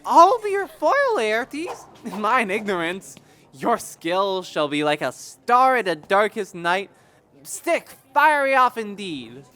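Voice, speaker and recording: A young woman acting a courtly, impassioned young prince in a Shakespearean audio drama, with measured, declamatory verse. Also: medium pitch, sing-song, fairly bright tone. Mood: keen, courtly.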